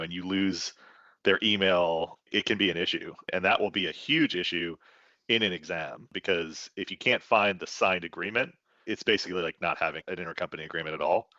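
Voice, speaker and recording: A man giving conversational expert commentary as a tax advisor on a business podcast, explaining in a measured way. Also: deep voice, sharp tone, no echo, lo-fi sound.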